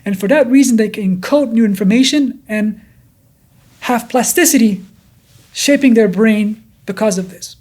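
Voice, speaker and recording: A neuroscientist guest from Denmark, of Kurdish origin, with a blended accent in English, explaining at length and often repeating words and restarting. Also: male voice, sits high, a little mellow. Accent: blended Danish and Kurdish. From Denmark, Kurdish from Iraq. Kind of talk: explanatory interview talk.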